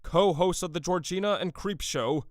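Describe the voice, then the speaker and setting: Man as a high-voiced, pompous newsreader. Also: normal speed, no echo, studio quality, lightly acted. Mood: pompous, solemn. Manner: formal, pompous. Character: pompous, smooth-talking male internet news anchor. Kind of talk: parody news reading.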